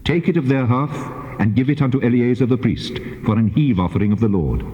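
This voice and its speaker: An actor playing God as a solemn, commanding divine voice in dramatized scripture, speaking in measured phrases. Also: male voice, medium pitch, expressive, mellow, close to an everyday voice. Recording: muffled, long cavernous reverb.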